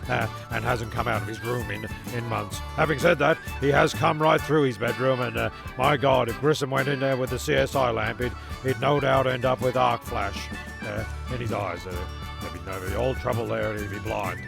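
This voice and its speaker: A man's voice: medium pitch, fairly even intonation, normal speed, vocal fry, over music. A man playing a grumpy, rambling, foul-mouthed narrator of a comic audio serial, stumbling over his words. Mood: sardonic recap. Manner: stumbling, cynical, sweary.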